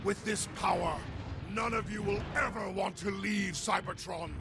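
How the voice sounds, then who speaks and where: An actor voicing a cruel robot warlord, speaking in a menacing, gloating, imperious way in a game. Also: male voice, high voice, steady tone, a little slow, creaky, very noisy. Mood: triumphant. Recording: game audio under loud music.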